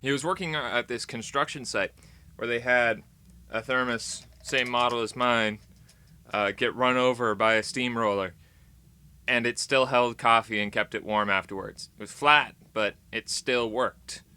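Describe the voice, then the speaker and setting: A man's voice: medium pitch, steady tone, measured pace, bright, forceful tone, clean tone, dry recording. An American man vlogging in casual, rambling chat to camera, playful and tongue-in-cheek with dramatic emphasis.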